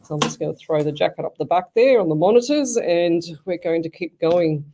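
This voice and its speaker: A woman's voice: on the low side, expressive, a little fast, noisy background. An Australian woman hosting a live radio show as a psychic medium, chatty and rambling, often restarting her sentences. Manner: chatty, rambling, self-interrupting.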